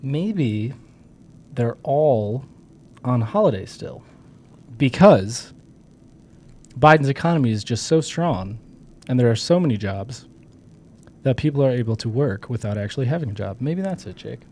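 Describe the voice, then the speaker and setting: Hoarse man joking on a podcast. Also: mid-range voice, animated, measured pace, long pauses, a little mellow. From Michigan. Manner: joking, rambling with many fillers. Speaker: podcast co-host. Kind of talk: casual political podcast chat.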